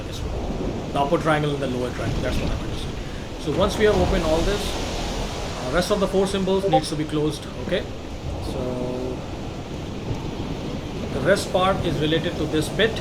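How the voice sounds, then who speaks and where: Higher-pitched man over loud game noise. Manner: step-by-step, repetitive explaining. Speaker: gaming walkthrough host. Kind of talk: casual explanatory game commentary. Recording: loud game ambience behind voice. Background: loud game sound effects.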